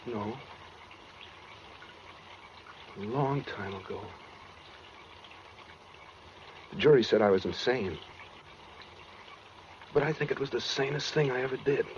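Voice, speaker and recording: An American man playing a brooding, coldly calm escaped killer in a 1940s radio suspense drama, speaking matter-of-factly with quiet menace. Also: mid-range voice, moderate pace, natural voice. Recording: dull 1940s radio recording with noise.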